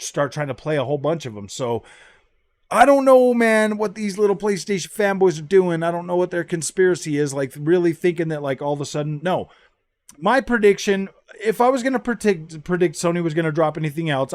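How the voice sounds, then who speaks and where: A man's voice: fairly high pitch, no room sound. A man giving casual, opinionated gaming commentary, slangy and often repeating and restarting his phrases. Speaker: gaming YouTuber.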